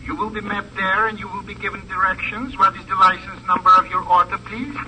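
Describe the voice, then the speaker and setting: An actor playing a menacing, mocking criminal in a 1950s radio crime drama, speaking with formal, measured politeness. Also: male voice, sits high, fairly even intonation, pushed and bright, low-quality recording. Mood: coldly businesslike. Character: menacing, precise, mocking male criminal on telephone. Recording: old radio recording, faint noise.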